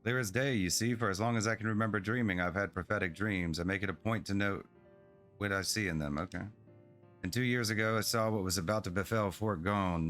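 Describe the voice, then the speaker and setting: A man reading aloud as an earnest young girl priestess who wants to prove herself, in an even, sincere tone. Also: on the low side, lightly acted. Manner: reads long dialogue aloud in an even, sincere tone.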